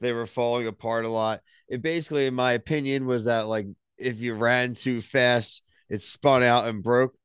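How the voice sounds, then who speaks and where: A young man talks in a jokey way and leads the talk. His voice is smooth and clear. He is heard up close on a desk mic at home with a dry sound. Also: mid-range voice, fairly even intonation, normal speed, hardly any pauses, dry recording. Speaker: co-host of a reality TV review podcast. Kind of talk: casual chat. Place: home room. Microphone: desk mic on a boom.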